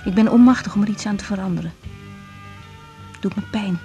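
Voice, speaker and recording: An actress playing a young, sensitive, tired woman doctor in a radio drama, speaking gently and reflectively with a Netherlands Dutch accent. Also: medium pitch, fairly quick, faint music behind, lightly acted. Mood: weary, confiding. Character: young female doctor, weary, sensitive, caring. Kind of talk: radio drama acting, intimate diary monologue and dialogue.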